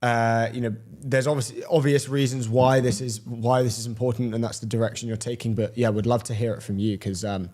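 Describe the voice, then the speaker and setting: Male interview host, medium pitch, rambling. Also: flat delivery, fairly quick, few pauses, darkish tone. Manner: rambling, hedging questions. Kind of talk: casual interview chat.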